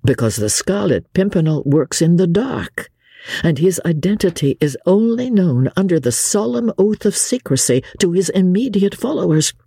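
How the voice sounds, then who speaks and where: Reader doing jolly young english lord, sporty adventurer type, hearty, husky, very animated, storybook. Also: mid-range voice, moderate pace, dry recording, studio quality, full character voice. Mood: firm. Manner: hearty, pleasant, carefree.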